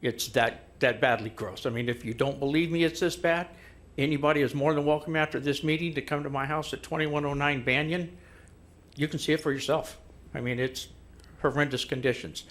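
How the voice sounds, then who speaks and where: A man's voice: on the high side, fairly even intonation, fairly quick, a bit bright. A man making a frustrated, pleading complaint during public comment.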